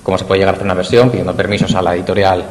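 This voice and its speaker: A man's voice: low-pitched, steady tone, racing. A man with a Spanish accent giving an academic conference talk in a lecture hall, explaining and addressing his audience directly. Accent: Spain. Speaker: university lecturer. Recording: reverberant hall, faint noise.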